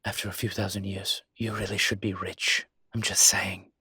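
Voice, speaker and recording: Guy voicing a suave old-as-time demon professor, super husky and kinda deep, wry urbane teasing, roleplay audio. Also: level tone, normal speed, sharp tone, no echo, full character voice. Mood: smug, casual. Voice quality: husky, breathy low voice. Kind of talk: intimate roleplay audio drama.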